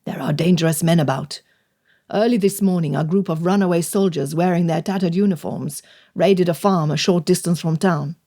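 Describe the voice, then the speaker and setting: A burly older man of the village, blunt and solemn, put on by a woman reading a storybook. His voice sits well below the narration, heavy and deliberate, with no flourish. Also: on the low side, dark tone, voice pushed down. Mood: grave.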